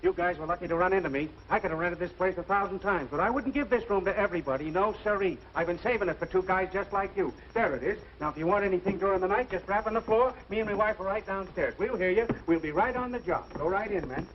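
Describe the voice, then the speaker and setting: A muffled old radio recording with faint noise behind it. A man plays a slick, glib hustler, pitching his wares in a high voice. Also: fast talker, few pauses, natural voice. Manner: glib sales patter. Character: slick, fast-patter hustler renting out a bed. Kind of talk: 1940s radio comedy acting.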